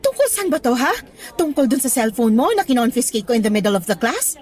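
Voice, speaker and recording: A high-voiced, stern, hurt schoolteacher. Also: female voice, big pitch swings, fairly quick, darkish tone, faint music behind. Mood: stern, scolding. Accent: Filipino. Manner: scolding, emphatic, mixes in English. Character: stern, hurt female schoolteacher scolding her student. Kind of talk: Tagalog radio drama acting.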